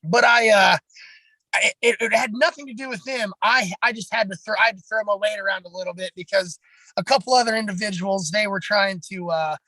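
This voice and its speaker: A man with a raspy voice, a pro wrestler talking bluntly and at length in a casual podcast chat, heavy on fillers. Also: high voice, fairly quick, pushed and bright, no echo, poor audio.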